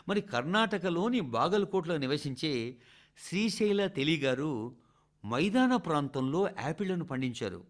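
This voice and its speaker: A man with a fairly high voice reads a scripted radio address. His pitch moves in broad, animated sweeps, and his delivery is rhetorical, addressed straight to the listeners. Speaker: radio reader of a translated public address.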